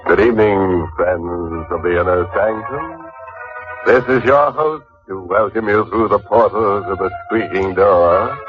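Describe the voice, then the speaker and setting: An actor playing a ghoulish, mock-genial horror host in 1940s radio style, savoring morbid puns theatrically. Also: male voice, fairly low pitch, unhurried, close to an everyday voice. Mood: gleefully macabre. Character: ghoulish, gleefully macabre male horror-show host. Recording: dull old radio recording with music.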